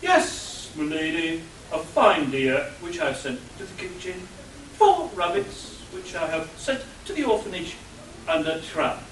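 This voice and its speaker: Unflappable, obliging British manservant acted by a man, high voice, comic radio play, picked up from a distance. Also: very animated, measured pace, gravelly, a bit bright, heavily acted. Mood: deferential. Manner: formal, obliging. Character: deferential male butler, quietly smarter than employer, unflappable. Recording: roomy echo, distant mic, faint noise.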